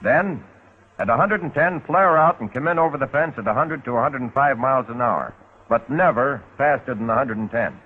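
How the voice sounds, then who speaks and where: A man's voice: medium pitch, animated, brisk, breathy edge. An American man narrating a 1940s instructional film in a scripted, instructional way with folksy, wry touches. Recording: muffled, phone-like 1940s film soundtrack.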